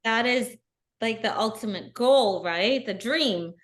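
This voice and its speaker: A middle-aged woman, a guest on an interview podcast, telling her story in an earnest, animated way. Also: a little high, slow pace. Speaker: guest, bikini athlete. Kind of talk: casual interview.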